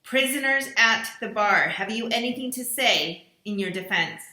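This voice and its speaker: A woman reading out a formal list of accusations in a slow, declaiming voice. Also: on the high side, expressive, sharp tone, roomy sound, poor audio. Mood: accusing.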